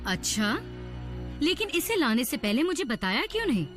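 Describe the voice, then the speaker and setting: A woman's voice: sits high, big pitch swings, moderate pace, fairly bright tone, soft background music. An actress voicing a strict but caring, anxious mother in a cartoon dub. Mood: scolding. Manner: scolding, anxious.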